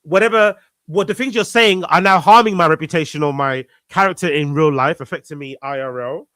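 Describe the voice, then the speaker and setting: A man's voice: on the high side, fairly quick, pushed and bright, no echo, clean studio sound. A man in a casual conversation, earnest and reflective, repeating phrases for emphasis. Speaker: podcast guest.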